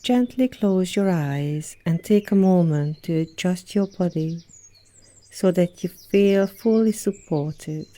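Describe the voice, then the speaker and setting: A woman leading a guided yoga nidra meditation as an instructor, speaking in a soothing, gentle manner with long pauses between phrases. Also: lowish voice. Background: birdsong and nature sounds.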